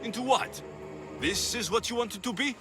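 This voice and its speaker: A man's voice: extremely high pitch, normal speed, music in the background. An actor playing an earnest young male warrior in a video game, urgent and confrontational. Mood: heated. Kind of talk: dramatic video game cutscene acting.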